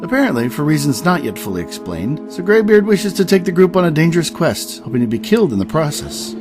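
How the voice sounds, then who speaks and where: A man's voice: a little high, big pitch swings, quick pace, music in the background. A man playing the dramatic narrator of a fantasy adventure, reading a scripted recap.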